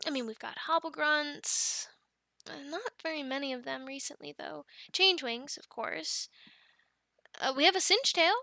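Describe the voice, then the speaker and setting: A friendly young dragon keeper in a fantasy audio roleplay, acted by a woman. Her high voice has frequent creak and a slow, encouraging delivery. She talks playfully and is recorded close and dry. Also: bright, forceful tone, dry recording, exaggerated voice. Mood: chatty, helpful. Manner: playful, chatty, cooing to animals like pets. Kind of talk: ASMR roleplay, addressing the listener.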